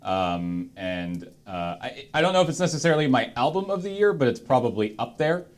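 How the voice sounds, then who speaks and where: A man hosting a panel in casual chat, thinking aloud in halting, broken fragments. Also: mid-range voice, very animated. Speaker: host of a charity stream panel.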